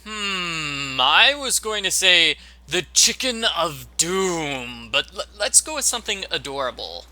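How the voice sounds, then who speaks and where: A man's voice: on the high side, big pitch swings, takes it slowly, pushed and bright. A man giving joking commentary as the host of a video game walkthrough.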